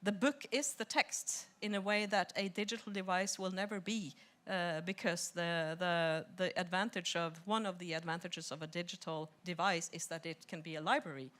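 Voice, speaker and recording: A woman's voice: fairly low pitch, fairly even intonation, moderate pace, fairly bright tone. A woman researcher speaking off the cuff as an expert on a panel, repeating words as she reasons aloud. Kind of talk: spontaneous expert panel discussion.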